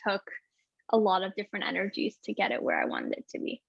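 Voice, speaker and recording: A woman's voice: mid-range voice, huge pitch swings, fast talker, vocal fry, husky, dry recording. A singer-songwriter in her twenties chatting casually in an interview, bubbly, laughing often and saying "like" all the time. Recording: dull, dry, lo-fi sound.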